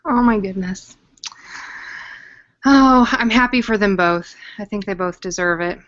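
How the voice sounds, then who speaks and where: Young female co-host, casual recap chat, bright forward voice, gushing and jokey, dull low-fidelity audio. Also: measured pace. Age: young adult. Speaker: podcast co-host. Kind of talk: casual chat discussing a TV drama.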